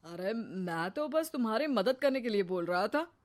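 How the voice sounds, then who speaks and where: Defensive cartoon husband, middling pitch, acted by a woman. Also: lively intonation, moderate pace, natural voice. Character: defensive, eager middle-aged husband and father. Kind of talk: cartoon moral story acting.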